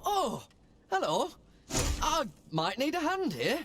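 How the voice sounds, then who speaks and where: Swooping, cheeky young fantasy cleric. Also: male voice, very high voice, wildly swooping pitch, slow, very noisy, exaggerated voice. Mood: sheepish. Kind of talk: video game voice acting.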